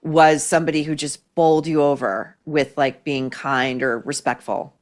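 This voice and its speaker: Low-voiced woman hosting an interview. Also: fairly even intonation, moderate pace. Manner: encouraging, prompting questions. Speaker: podcast host. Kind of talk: conversational interview.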